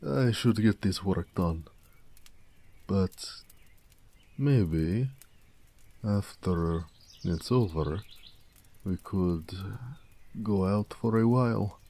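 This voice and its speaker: A man voicing a gentle, affectionate orc blacksmith in an intimate romantic audio roleplay, tender and musing. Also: deep voice, full character voice. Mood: shy, hopeful. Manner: unhurried, tender, murmuring, musing.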